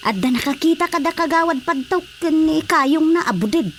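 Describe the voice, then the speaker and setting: Casting: a practical, chiding village wife, somewhat high pitch, Ilocano accent, radio comedy drama. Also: female voice, animated, a little fast, a bit bright, a little hiss or hum. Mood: gossiping, insistent. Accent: Filipino, Ilocano.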